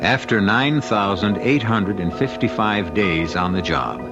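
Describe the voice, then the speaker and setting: Deep-voiced, wry radio mystery host. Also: male voice. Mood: wry, ominous. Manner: deliberate, wry, theatrical. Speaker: host and narrator. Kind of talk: old-time radio scripted narration. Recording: dull old radio recording with music.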